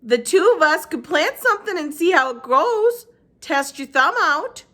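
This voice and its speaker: A woman voicing a kindly, wise old woman with poor eyesight and a drawling Southern accent, pitched high and loud. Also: sing-song, a little slow, heavily acted. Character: old woman with bad eyes, warm and wise.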